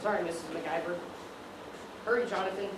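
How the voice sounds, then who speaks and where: A man's voice: sits high, steady tone, quick pace, close to an everyday voice. A man acting an anxious friend in a scrape, urgent and pleading, in an amateur stage comedy. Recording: very echoey distant stage, noisy.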